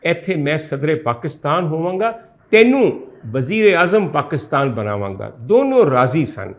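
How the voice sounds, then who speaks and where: A man's voice: on the high side, very animated, moderate pace. A man voicing an indignant, outspoken Punjabi woman who recounts her grievances with emphatic, lamenting exclamations in a storytelling talk. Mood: accusing, emphatic.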